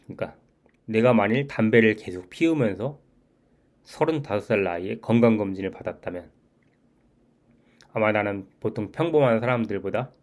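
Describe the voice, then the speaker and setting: Vlogger, male, 40s, lowish voice; sincere, repetitive, self-correcting delivery. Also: a little mellow. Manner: earnest, repeats key points, frequent restarts. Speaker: channel host. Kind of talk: reflective personal monologue.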